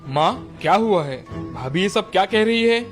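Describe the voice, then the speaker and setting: A man's voice: sits high, animated, moderate pace, soft background music. A man voicing a dismayed grown son in a cartoon family story. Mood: questioning.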